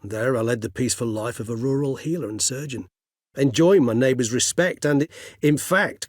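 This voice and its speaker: A gentlemanly older vampire for a fantasy video game. His voice is low and heavily husked, recorded close and dry. He chooses his words with formal, scholarly care and speaks as a gentle, loyal friend. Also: slightly rough, no echo. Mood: reflective, earnest. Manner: formal, eloquent, measured old-fashioned phrasing.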